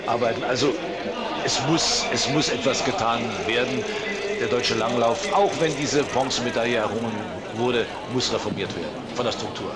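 A man giving live TV sports commentary on cross-country skiing, measured, putting questions to his co-commentator. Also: medium pitch, a loud crowd.

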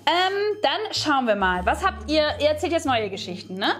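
A woman in her thirties chatting casually as a game show contestant, thinking out loud in a hesitant way. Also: high voice, sing-song, moderate pace. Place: indoor studio set, seated at a table.

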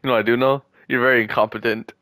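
A man's voice: medium pitch, little pitch movement, racing, sharp tone, no room sound. A young man in casual gaming chat with a friend, joking and trash-talking and often repeating his words. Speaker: gamer.

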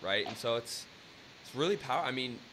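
Bright, hyped-up male host with hiss. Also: mid-range voice, brisk, low-quality recording. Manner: energetic, swearing, pep-talk hype. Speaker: interview host. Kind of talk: casual podcast chat. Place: indoor room with brick wall. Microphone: webcam. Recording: faint hiss on video call audio.

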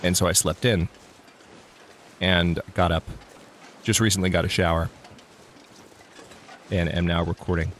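A man's voice: sits low, little pitch movement, unhurried, pauses often, slight background noise. A man casually narrating his own gameplay as a let's play host, thinking aloud with restarts, sounding slightly congested.

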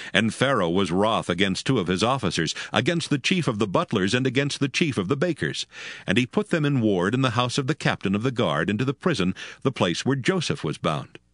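A man narrating scripture in a solemn, formal, measured storytelling style. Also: fairly low pitch, fairly bright tone, no echo, studio quality.

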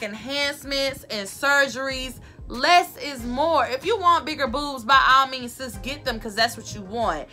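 A woman, giving sassy, emphatic advice to camera in her bedroom, repeating her points, with a loud voice that carries. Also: high-pitched. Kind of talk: casual advice talk to camera. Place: bedroom, indoors.

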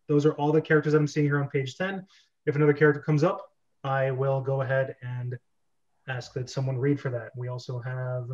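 A man's voice: a little high, darkish tone, highs cut off. A man hosting a script-reading club over a video call, talking casually.

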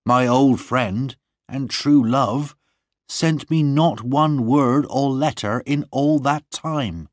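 A man's voice: mid-range voice, takes it slowly, dry recording, pitched above the performer's own voice, natural voice. A man plays a young farmer in love from a romantic storybook. He speaks in a raised, heartfelt voice with earnest, humble devotion. The sound is dry and close. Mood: hurt.

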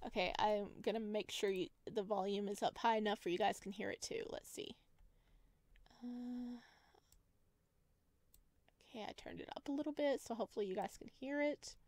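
A woman's voice: medium pitch, normal speed, long pauses, a little mellow, no echo. A woman chatting casually as she plays a game, reacting aloud and reading text from the screen. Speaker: gaming streamer, let's player.

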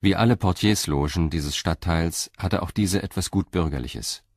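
Narrator for a radio crime drama: a very low male voice, measured delivery. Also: slight background noise. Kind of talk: scripted narration.

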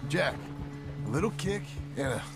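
An actor playing a stern but caring former outlaw turned rancher father in a video game, gravelly and terse with rural plain speech. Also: fairly high pitch, sing-song, normal speed, over loud music. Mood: gruff coaching. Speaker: game character. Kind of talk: video game cutscene acting.

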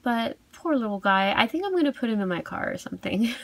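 A woman giving a casual unboxing review as a video host, cooing softly over cute things. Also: a little high, sing-song, quick pace, no room sound. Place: indoors at a tabletop.

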